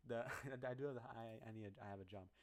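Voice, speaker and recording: A man with a very low voice casually walking through his own song's project file, rambling and poking fun at himself. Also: fast talker, a little mellow. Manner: rambling, self-deprecating, many restarts. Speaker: musician explaining his song.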